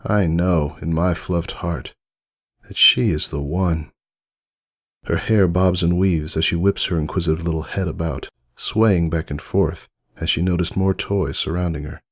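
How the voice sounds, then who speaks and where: A man voicing a wistful, devoted old stitched toy, narrating a dramatic fan fiction story in an earnest, measured way. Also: low-pitched, steady tone. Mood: hopeful, tender. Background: music under the voice.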